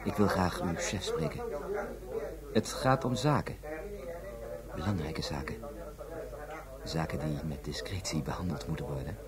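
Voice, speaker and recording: A man's voice: rumbling low, measured pace, long pauses, over a noisy crowd. An actor playing a polite, insinuating Hong Kong businessman with formal manners in a radio drama. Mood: courteous, insistent. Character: polite, smooth male Hong Kong import-export businessman.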